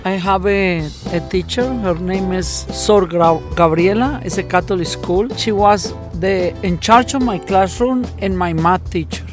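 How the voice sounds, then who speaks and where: A parent recalling a teacher in halting speech with many restarts. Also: mid-range voice, music in the background. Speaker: parent in a vox pop clip.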